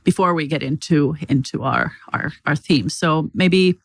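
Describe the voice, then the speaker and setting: A woman's voice: sits low, unhurried. Casual woman hosting a company podcast. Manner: friendly, loose, many fillers. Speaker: podcast host.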